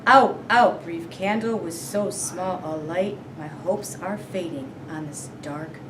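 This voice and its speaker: A woman's voice: fairly low pitch, slow pace. A woman on a school's staff reading a children's storybook aloud. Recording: noisy room sound, distant microphone.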